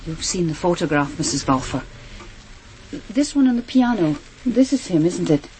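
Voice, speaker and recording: A woman's voice: lowish voice, sing-song, moderate pace, mellow, slight background noise, poor audio. Careful, tactful policewoman. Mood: tactful, uneasy. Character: tactful, earnest young policewoman. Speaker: actor in a crime drama. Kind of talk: naturalistic radio drama acting.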